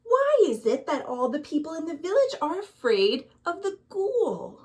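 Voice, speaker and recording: A woman's voice: very high-pitched, sing-song, unhurried, darkish tone, pitched above the performer's own voice, exaggerated voice. A woman voicing a brave, curious and restless young village boy in a storybook, earnest and emphatic.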